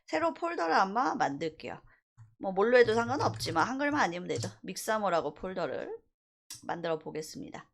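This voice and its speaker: A woman instructor giving an informal software tutorial, explaining step by step and stressing key points. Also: medium pitch, very animated, a little fast, vocal fry, slight background noise. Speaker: university animation instructor.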